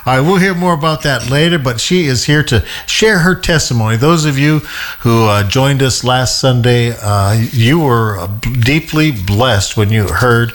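A man, a pastor, hosting a Sunday church interview, talking haltingly with many fillers and restarts. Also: medium pitch, fairly bright tone.

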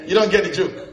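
Guy pastor preaching, kinda high voice, laughing between lines, roomy church w music. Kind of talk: lively sermon.

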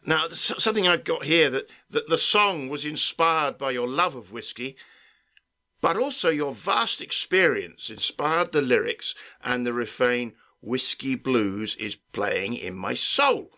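A man hosting an interview, speaking haltingly with frequent restarts and stammers. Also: medium pitch, expressive, bright, forceful tone. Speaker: interviewer host.

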